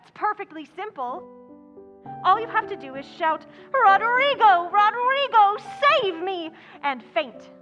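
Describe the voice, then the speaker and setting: A woman's voice: extremely high pitch, expressive, normal speed. A woman playing a bold, tomboyish teenage girl in an old-time radio play, with a bossy, eager, theatrical delivery. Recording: dull lo-fi sound, faint music behind.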